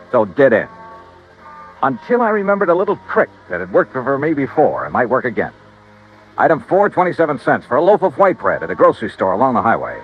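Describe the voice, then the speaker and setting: A hard-boiled insurance investigator from a 1950s radio drama, acted by a man. His delivery is clipped, confident and very animated in pitch. The old broadcast sound is slightly muffled. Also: lightly acted. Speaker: lead actor in a radio detective drama. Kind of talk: 1950s radio acting, first-person narration and dialogue.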